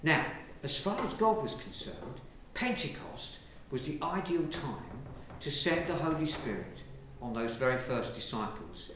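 English priest preaching, slightly high voice that swings around a lot, big echoey church, mic far off. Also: male voice, slight background noise. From England. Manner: lively, conversational preaching with gestures. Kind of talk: sermon. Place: large church, indoors. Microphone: far camera.

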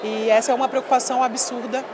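A woman's voice: on the high side, little pitch movement, quick pace, crowd noise, noisy background. A woman in her thirties, a former volleyball player, chatting emphatically in an interview on a handheld mic at a busy expo hall, dropping lots of little fillers. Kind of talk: casual interview. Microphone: handheld mic held by interviewer.

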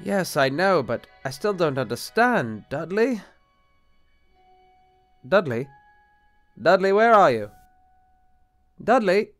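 An actor playing an anxious, exasperated bishop in an audio drama, formal and hesitant in manner. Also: male voice, high voice, sing-song, slow, long pauses, no room sound, soft background music, full character voice. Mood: flustered, nervous. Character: anxious, exasperated, skeptical married male bishop. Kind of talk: radio-play style drama acting.

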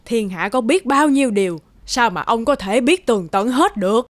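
An actress playing the exasperated, forgetful wife of a rich villager in an animated folktale. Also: female voice, on the high side, moderate pace, lightly acted.

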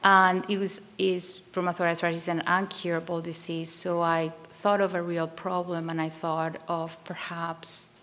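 A woman in her forties with a Colombian Spanish accent and Spanish as her first language, a surgeon speaking at a podium in a measured way, with many ums and uhs. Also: fairly low pitch, level tone, fairly bright tone. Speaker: transplant surgeon. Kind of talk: panel talk with slides. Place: wood-paneled lecture hall, at a podium. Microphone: podium mic.

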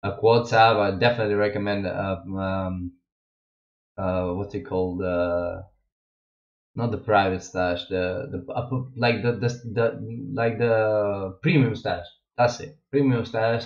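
A man's voice: on the low side, steady tone, unhurried. Rambling young man with Russian accent. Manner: rambling, hesitant, many fillers and restarts. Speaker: streamer. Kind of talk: casual gaming commentary. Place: home gaming room. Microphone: wireless headset. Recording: echoey, dull lo-fi home mic.

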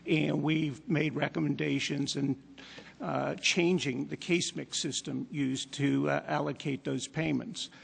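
A man testifying as a commission chairman, measured and hesitant with many fillers. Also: a little high, steady tone, creaky, slightly rough, long reverb tail. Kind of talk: testimony at a hearing. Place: large hearing room.

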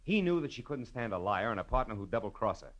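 Low-voiced, animated hard-boiled private eye. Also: male voice, fast. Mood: urgent, insistent. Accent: American. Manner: punchy, emphatic, clipped. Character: hard-boiled male private detective, insistent and shrewd. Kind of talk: 1950s radio detective drama acting and narration.

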